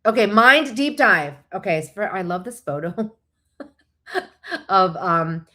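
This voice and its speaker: A woman's voice: medium pitch, lively intonation, takes it slowly, sharp tone. A woman whose first language is Korean, hosting a casual, chatty livestream, often digressing and thinking aloud as she replies to viewers. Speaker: host of a live online show; licensed therapist.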